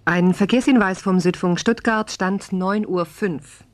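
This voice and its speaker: A woman's voice: medium pitch, a little slow, no room sound. A woman radio announcer reading a scripted traffic bulletin.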